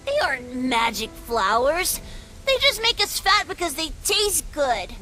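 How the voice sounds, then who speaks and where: Very high, childlike little boy fawn. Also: big pitch swings, a little slow, pushed and bright, soft background music, exaggerated voice. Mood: playful, sulky. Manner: childlike, whiny, sulky. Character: sensitive young male deer fawn, bullied but cheeky. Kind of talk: animated comic dub acting.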